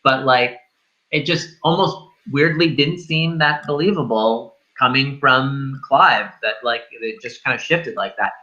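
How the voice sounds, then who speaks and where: A man's voice: medium pitch, unhurried, pushed and bright, dull audio. A man in a casual film discussion, rambling and thinking aloud as he reviews. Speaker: host of a film review show.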